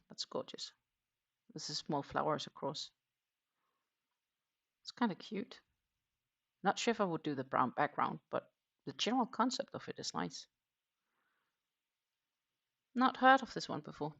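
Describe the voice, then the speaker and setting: A woman's voice: low voice, expressive, measured pace, vocal fry, long pauses, fairly bright tone, husky. A Danish woman who speaks English as a second language, hosting a casual crochet livestream and musing aloud with fillers and restarts. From Denmark. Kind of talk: casual chat while crocheting.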